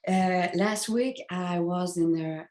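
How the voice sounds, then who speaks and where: A woman from Quebec with a Québécois French accent, a native French speaker talking haltingly in English as a guest author on a panel. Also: lowish voice, darkish tone. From Quebec, Canada. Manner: halting, non-fluent English with searching pauses. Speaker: crime novelist, festival panel guest. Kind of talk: conversational panel discussion. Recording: roomy, echoey sound.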